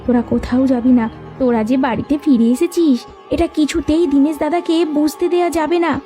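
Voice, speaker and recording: Plucky young village heroine for a cartoon, female actor: high pitch, rounded dark tone, earnest and resolute. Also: steady tone, moderate pace, natural voice. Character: bold, determined young village girl and her sisters. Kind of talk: cartoon story dubbing. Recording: music behind the voice. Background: background music.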